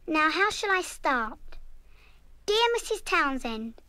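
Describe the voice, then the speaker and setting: A woman's voice: high voice, big pitch swings, slow pace, pushed and bright, no echo, exaggerated voice. A woman playing an earnest, remorseful, kind-hearted but defiant schoolgirl in an audio radio play. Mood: troubled, resolute, then earnest, sorrowful. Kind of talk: full-cast audio radio play acting.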